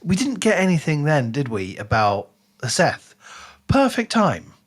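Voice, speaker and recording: A man's voice: on the high side, wildly swooping pitch, unhurried. A man co-hosting a casual book discussion podcast, speaking in an emphatic, analytical way. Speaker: podcast host.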